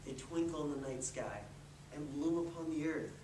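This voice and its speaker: Man playing gentle ancient turtle, distant and echoey. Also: a little high, fairly even intonation, moderate pace, natural voice. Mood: patient, reassuring. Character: wise, gentle, ancient turtle, patient and kindly. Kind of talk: readers theatre storybook performance. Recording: roomy, distant mic with clear noise.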